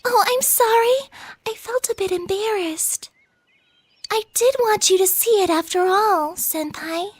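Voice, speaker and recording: A woman's voice: very high voice, unhurried, no echo. A woman voicing a shy, devoted teenage schoolgirl in an anime-style video game, girlish and sweet, with a hesitant, flustered, polite way of talking. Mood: apologetic, embarrassed.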